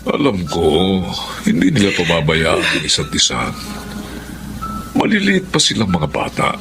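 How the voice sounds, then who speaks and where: A middle-aged Filipino father with a low, very animated voice. He is caring and paternal, acting in radio drama style over music. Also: unhurried, gravelly, full character voice. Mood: reassuring.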